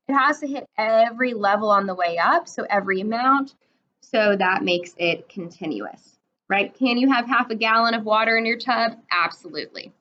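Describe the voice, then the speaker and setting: A woman's voice: fairly high pitch, sharp tone, slight background noise. A woman in her twenties teaching a math lesson, explaining step by step and checking in with a frequent "right?". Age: 20s. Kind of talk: recorded classroom lesson. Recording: dull lo-fi recording.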